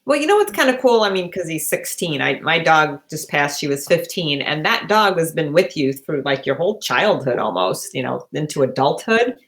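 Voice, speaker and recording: Female co-host, slightly low voice, very lively intonation, casual home chat. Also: moderate pace, few pauses. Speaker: podcast co-host. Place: living room at home, on a remote call.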